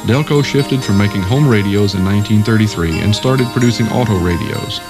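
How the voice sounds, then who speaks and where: A man's voice: lowish voice, a little fast. A man reading scripted documentary narration. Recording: music under the voice. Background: music.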